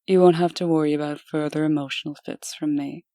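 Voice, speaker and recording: A woman's voice: low-pitched, fairly even intonation, brisk, warm tone, no room sound, studio quality. A woman playing a proud, earnest official who speaks formally and firmly, in a comic dub. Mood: composed, resolute. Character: proud, composed woman official, anxious for her sister. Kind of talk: comic dub dramatic acting.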